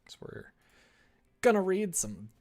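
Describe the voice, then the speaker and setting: A man's voice: high voice, big pitch swings, slow, darkish tone. A man livestreaming his development work, thinking aloud casually and muttering to himself in short fragments. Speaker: livestreamer developing a visual novel.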